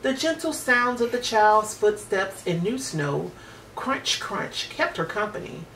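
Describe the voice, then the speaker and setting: A woman's voice: medium pitch, expressive, unhurried, fairly bright tone. A woman narrating a children's storybook expressively. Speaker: storybook reader. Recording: roomy, distant mic with faint noise.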